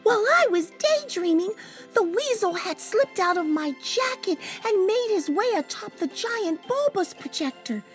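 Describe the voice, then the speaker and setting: Imaginative young boy narrator for a storybook, played by a woman: high voice, dramatic, unhurried, light music underneath. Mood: excited. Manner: dramatic, expressive, drawn-out delivery. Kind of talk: animated storybook read-aloud.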